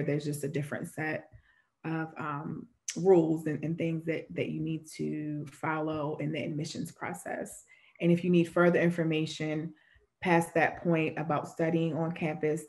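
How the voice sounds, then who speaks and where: A young woman with a low voice leads an online information session over a video call from a room at home. She talks steadily and explains each step. Her pitch stays flat and even throughout. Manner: steady, explanatory, relays questions from the chat. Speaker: admissions moderator of an online Q&A. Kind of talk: informational webinar Q&A. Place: home room with framed pictures.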